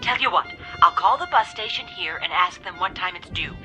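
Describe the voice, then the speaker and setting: An actress playing a brisk, helpful woman friend on a phone call in an audio drama, practical and breezy. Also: on the low side, lively intonation, pushed and bright, soft background music. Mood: reassuring.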